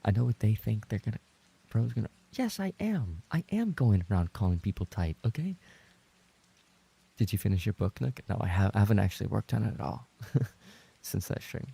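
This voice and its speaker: A man chatting casually as a livestreamer, rambling and trading playful banter with his viewers, in a gravelly, husky voice. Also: on the low side, sing-song, fairly quick, mellow. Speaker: livestreamer and ASMR voice actor reading chat.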